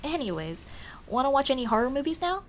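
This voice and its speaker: Lively, high-voiced tomboy friend acted by a woman. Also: slight background noise. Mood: flustered, hesitant. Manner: casual, boyish slang, hesitant pauses. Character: tomboy childhood friend, young woman, teasing but shyly lovestruck. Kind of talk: ASMR roleplay, intimate one-sided dialogue.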